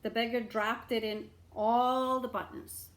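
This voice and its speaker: A woman's voice: on the high side, little pitch movement, a little slow, a bit bright. A woman storyteller reading a children's book aloud expressively, with asides to her listeners.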